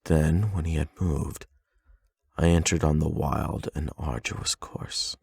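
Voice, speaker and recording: A man with a husky, gravelly voice speaking softly and intimately in an unhurried bedtime ASMR style, close to the microphone. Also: a bass voice, steady tone, no echo. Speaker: ASMR boyfriend roleplay performer. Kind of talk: soft bedtime reading and intimate ASMR roleplay.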